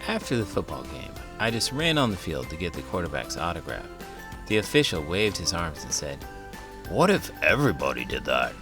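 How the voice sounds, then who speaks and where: A man's voice: sits low, big pitch swings, fairly quick, over music. A man reading a children's book aloud as host, theatrical and full of big, silly emotion.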